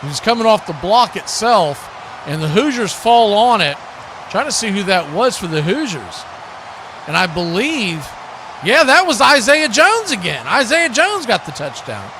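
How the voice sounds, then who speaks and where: An American man giving live football play-by-play in short clipped phrases that build with excitement. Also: high-pitched, normal speed, noisy background. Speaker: play-by-play announcer.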